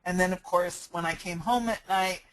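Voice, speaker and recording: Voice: medium pitch, moderate pace, fairly bright tone, slight background noise, poor audio. Business coach, casual live chat, rambling, restarts, earnest. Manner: rambling, frequent restarts and fillers.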